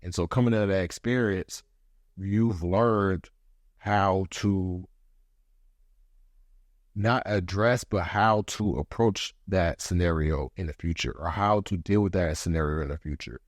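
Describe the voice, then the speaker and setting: Man, 30s, very low voice, allergy-congested, reflective, pausing, dry close sound. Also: no room sound. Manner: reflective, pauses to gather thoughts. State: congested from allergies, voice deeper than usual. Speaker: podcast host. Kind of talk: casual solo podcast monologue.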